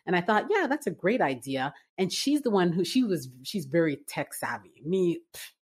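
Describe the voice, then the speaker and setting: A woman from Canada in her forties, a business-owner guest telling her story in a relaxed interview, with lots of fillers and restarts. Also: on the low side, normal speed, breathy edge. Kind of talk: casual interview conversation.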